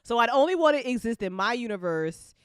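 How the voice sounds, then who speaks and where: A woman hosting a casual chat about writing, talking in an animated, playful way with plenty of swearing. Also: a little high, a little fast, clear, resonant tone, no echo, studio quality. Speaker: host of a fantasy audio drama chat show, writer.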